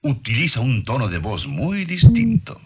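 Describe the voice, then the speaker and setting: A man's voice: medium pitch, huge pitch swings, moderate pace, breathy edge, highs cut off. A man delivering scripted nature documentary narration in a didactic manner, addressing the listener as a teacher would. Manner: didactic, rhetorical questions to the listener.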